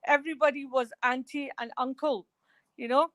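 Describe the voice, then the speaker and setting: A woman's voice: high-pitched, steady tone, normal speed, a bit bright, dry recording. A woman talking as a guest in a remote radio interview, rambling and hesitant with many fillers. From Scotland.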